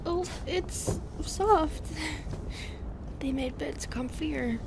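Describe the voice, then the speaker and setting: A woman playing an anguished, remorseful female medusa in an intimate audio roleplay, tender and fragile, with a halting, emotional, pleading delivery. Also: high-pitched, heavy background noise, exaggerated voice. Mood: subdued, tender.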